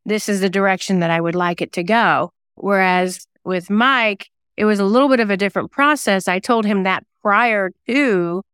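Clear-voiced woman chatting in an interview. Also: fairly low pitch, fairly bright tone, dry recording, clean studio sound. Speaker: guest singer-songwriter and novelist. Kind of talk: conversational interview answers.

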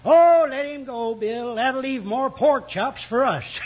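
High, husky old rancher. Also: male voice, slow pace, heavily acted. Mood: gleeful. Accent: American. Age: older. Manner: crotchety frontier slang. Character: cantankerous, trigger-happy old male rancher. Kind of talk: 1950s radio western acting. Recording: dull old radio recording.

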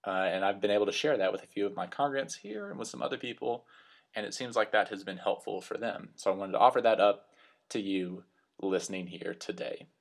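A man's voice: sits low, vocal fry. A man in his thirties with a West Texas accent, a minister talking earnestly and reflectively in a solo podcast. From West Texas Panhandle, US. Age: 30s. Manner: earnest, reflective, slightly self-conscious. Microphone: computer microphone.

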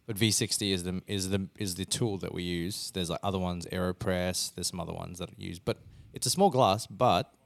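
A young man co-hosting a podcast, chatting easily and explaining things at a relaxed pace. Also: deep voice, fairly quick, vocal fry, hardly any pauses, no echo. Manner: easygoing, explanatory. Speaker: podcast co-host. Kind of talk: casual chat.

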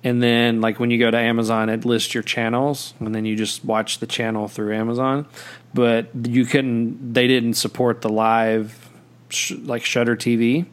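A man's voice: medium pitch, flat delivery, moderate pace. A man hosting a podcast in casual chat, rambling with many fillers and restarts. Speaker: podcast host.

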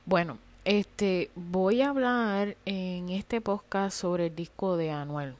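Puerto rican woman rambling on her podcast, kinda low voice, lots of "este" and "eh". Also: takes it slowly, darkish tone. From Puerto Rico. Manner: rambling, many fillers like "este" and "eh", mild cursing. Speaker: podcast host. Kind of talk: casual solo podcast monologue.

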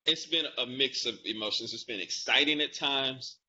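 A man's voice: medium pitch, normal speed, sharp tone. A man in his forties from the American South, a doctor answering interview questions conversationally. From Atlanta, Georgia, United States. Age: 40s. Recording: slightly muffled with faint noise.

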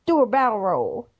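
A woman's voice: on the high side, slightly rough. A woman in her twenties chatting casually, rambling through stories and giggling often. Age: 20s. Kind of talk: casual chat.

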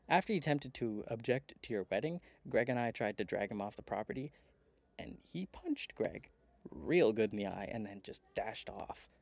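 An actor playing a wry, weary brother in a radio play, talking haltingly and conversationally into a recorder. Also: male voice, mid-range voice, gravelly, darkish tone, breathy edge, no echo. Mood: exasperated amusement.

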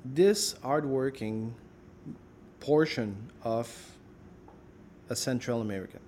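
A man's voice: medium pitch, slow pace, a little mellow, a little hiss or hum. A man interviewing a guest in a casual chat, thinking aloud and filling his questions with "like". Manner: rambling, thinks aloud with many "like"s.

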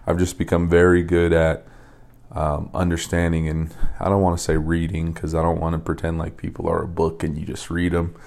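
A young man from Las Vegas hosting a podcast, telling stories in a loose, rambling way with repeated words and soft laughs. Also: deep voice, completely flat delivery, fairly quick, creaky. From Las Vegas, USA. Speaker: podcast host and coach. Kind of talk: casual storytelling monologue.

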